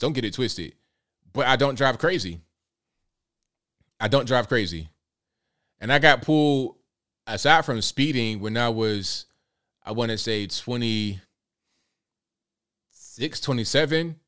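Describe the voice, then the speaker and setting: A man in his late thirties hosting a casual livestream, talking in a relaxed way and reading out viewer comments. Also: mid-range voice. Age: late 30s. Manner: laid-back, conversational, repeats himself. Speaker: livestream host.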